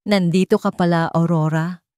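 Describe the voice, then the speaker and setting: A woman's voice: fairly low pitch, steady tone, moderate pace, darkish tone, clear, resonant tone, no room sound, studio quality, natural voice. A woman voicing a scheming, ruthless man who taunts and threatens his rivals in a storybook drama. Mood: taunting. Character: scheming ruthless male rival, sneering and cold.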